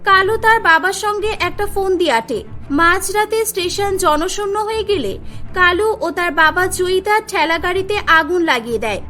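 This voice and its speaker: Very high-voiced woman storyteller. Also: bright, forceful tone. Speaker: narrator. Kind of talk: scripted cartoon story narration.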